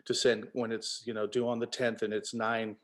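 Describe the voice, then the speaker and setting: A man's voice: on the low side, flat delivery, normal speed. A man talking casually as an author, telling anecdotes and acting out bits of quoted dialogue. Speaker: author, co-writer of a novel. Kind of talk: casual panel conversation.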